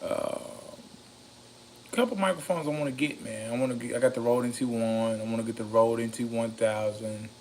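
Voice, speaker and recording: A raspy-voiced man rambling casually about microphones, slow and meandering, with many ums and a slightly loaded, loose delivery. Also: mid-range voice, fairly even intonation, darkish tone, slight background noise, rough recording. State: a bit intoxicated. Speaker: home studio vlogger reviewing microphones. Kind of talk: rambling casual talk to camera.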